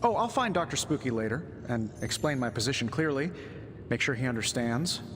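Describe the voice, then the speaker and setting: A man's voice: mid-range voice, big pitch swings, a little fast, big-room sound, noisy background, lightly acted. Man playing a cocky wisecracking inventor hero, swooping delivery. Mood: confident, playful. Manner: glib, teasing, sarcastic. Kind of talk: video game cutscene acting.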